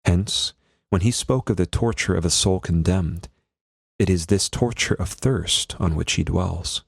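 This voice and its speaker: A man, a priest, reading a devotional text aloud in a solemn, measured and formal manner. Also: low voice, creaky, breathy edge, no room sound, studio quality.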